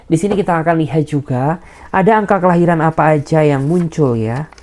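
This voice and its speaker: Tarot reader, female, deep and mellow tone, direct personal advice, filler "ya" after each phrase. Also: fairly quick. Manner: tags nearly every phrase with a soft "ya"; advising and reassuring in a direct, personal way. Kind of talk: general tarot reading addressed to the viewer.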